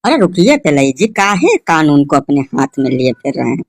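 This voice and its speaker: A man's voice: a little high, big pitch swings, normal speed, creaky, no room sound, full character voice. A man playing a stern, cautious police inspector in a comedy cartoon, officious and firm.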